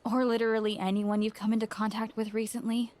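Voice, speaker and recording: A woman's voice: on the high side, level tone, fast talker, darkish tone, natural voice. Woman acting a candid, sarcastic friend. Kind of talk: video game cutscene acting.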